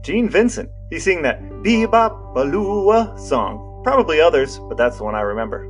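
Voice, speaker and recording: An American man co-hosting a podcast, explaining things casually in a measured, matter-of-fact way. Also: on the high side, big pitch swings, faint music behind. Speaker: podcast co-host.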